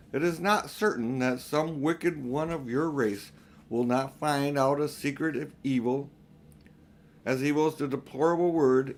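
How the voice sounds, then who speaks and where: A man voicing a wise, grave lion in an audiobook, speaking in measured, commanding pronouncements. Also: mid-range voice, close to an everyday voice. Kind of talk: audiobook character reading.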